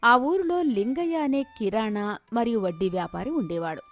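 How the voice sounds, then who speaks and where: A woman's voice: a little high, lively intonation, moderate pace, soft background music. A woman reading a scripted moral story as its narrator.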